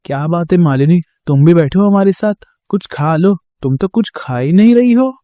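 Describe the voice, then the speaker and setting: Man playing an easygoing young husband, coaxing, gentle, mellow tone, dry sound, cartoon story. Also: sits high, expressive, moderate pace, no echo. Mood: concerned.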